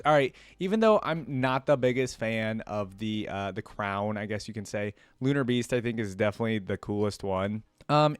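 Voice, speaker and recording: A man's voice: mid-range voice, smooth, no room sound. A man giving casual reaction commentary as a video host, rambling and thinking aloud.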